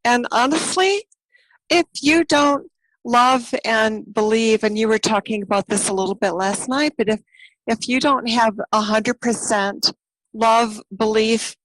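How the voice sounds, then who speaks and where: Slow-spoken woman, dry close sound. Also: fairly high pitch, a bit bright, dry recording, poor audio. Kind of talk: casual chat.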